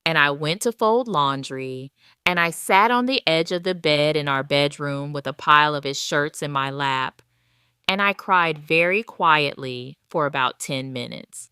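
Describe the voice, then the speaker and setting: A woman reading a scripted first-person story as a wronged, retired wife, in a measured, deliberate storytelling manner. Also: sits low, pushed and bright, smooth, dry recording, clean studio sound. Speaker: narrator. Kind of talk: scripted first-person audio drama narration.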